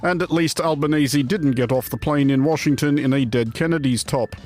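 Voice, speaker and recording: A man presenting a satirical news review as scripted narration, with a deadpan, wry and wordy delivery. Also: a little high, moderate pace. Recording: music bed under the voice. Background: music.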